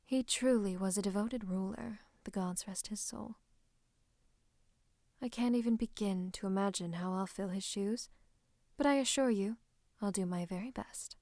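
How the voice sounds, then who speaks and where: A woman playing a scheming royal advisor in a fantasy roleplay, speaking close to the listener in a coaxing, teasing, falsely comforting way with breathy asides. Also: mid-range voice, moderate pace, a little mellow, no room sound, lightly acted. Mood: sympathetic, silky. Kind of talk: roleplay ASMR monologue to the listener. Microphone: close microphone.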